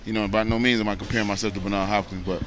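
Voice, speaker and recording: An American man in his late thirties with a fairly low voice. He talks like a boxer giving a casual interview, earnest and rambling, padding his thoughts with "you know.". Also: flat delivery, very fast, a bit bright. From United States. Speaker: professional boxer, interview guest.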